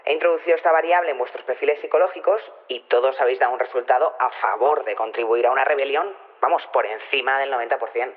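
A man's voice: mid-range voice, quick pace, close to an everyday voice. A man with an accent from Spain playing a matter-of-fact analyst among secret rebels in an audio drama. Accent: Spanish (Spain). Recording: tinny telephone-like sound.

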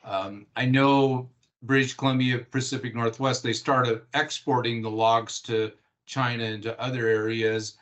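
A man's voice: medium pitch, steady tone, a little slow, a bit bright, dull audio. A middle-aged man from Washington State with an American accent, hosting a conversational podcast interview. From Washington State, United States.

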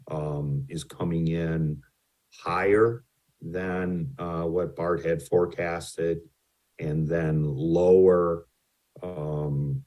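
A very deep-voiced man talks slowly through slides on a remote call. His tone is dark and mellow, and he hesitates often with ums and restarts while presenting numbers. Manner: says his voice tends to carry. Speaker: utility rate consultant presenting to a city.

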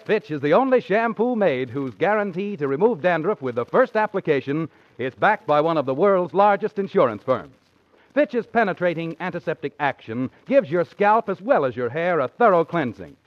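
A man's voice: on the high side, no echo. A man reading a 1940s radio advertisement as announcer, with polished, emphatic salesmanship. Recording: dull 1940s radio recording.

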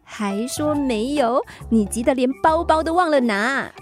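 Perky, high-voiced Singaporean daughter. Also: female voice, expressive, a little slow, soft background music. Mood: teasing. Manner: perky, fussing, nagging affectionately. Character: cheeky, doting, fussy young daughter. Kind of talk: Mandarin radio drama acting.

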